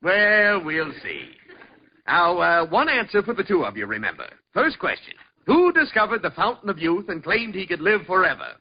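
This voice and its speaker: A man's voice: high voice, big pitch swings, normal speed, background noise. A man acting a showy, mock-sympathetic quiz show host in theatrical 1940s radio comedy style. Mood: mock-polite. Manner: theatrical, announcing, mock-apologetic. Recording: muffled old radio, audience behind.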